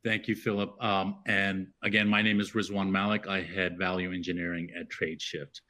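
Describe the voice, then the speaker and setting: A man's voice: sits low, little pitch movement, moderate pace, bright, forceful tone. A man moderating a business webinar panel in a conversational way, with many fillers and restarts.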